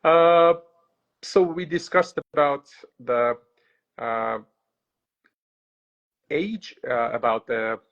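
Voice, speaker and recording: A man's voice: medium pitch, expressive, extremely slow, long pauses, a bit bright. A man hosting an interview, asking long questions with many filler sounds and restarts, in a dull lo-fi recording. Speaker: host.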